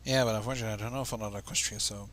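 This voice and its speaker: A man's voice: fairly low pitch, steady tone, very fast, a bit bright. A man reading a story aloud with casual asides, stumbling over words and going back over them. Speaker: fan fiction reader.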